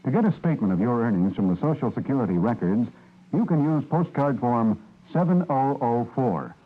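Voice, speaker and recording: A man reading a public service announcement in a steady, informative manner. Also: medium pitch, fairly quick. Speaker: advertisement announcer. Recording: tinny old radio broadcast.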